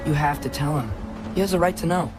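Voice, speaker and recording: Male actor as adolescent demigod for a game, sincere and casual, slightly high register. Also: fast, music in the background, close to an everyday voice. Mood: earnest. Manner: casual, earnest. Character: earnest, restless teenage boy, young demigod. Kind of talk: naturalistic video game acting.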